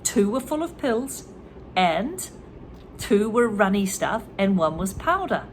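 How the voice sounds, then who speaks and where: A woman's voice: medium pitch, sing-song, takes it slowly, a little hiss or hum. A woman reading a children's story aloud and stopping to explain English words and expressions to learners. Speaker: reader and English teacher.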